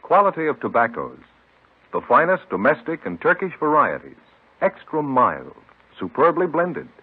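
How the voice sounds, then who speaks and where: A man's voice: fairly high pitch, huge pitch swings, moderate pace, breathy edge. A man announcing in dramatic 1950s radio style, emphatic and declamatory. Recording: tinny old radio recording, loud music.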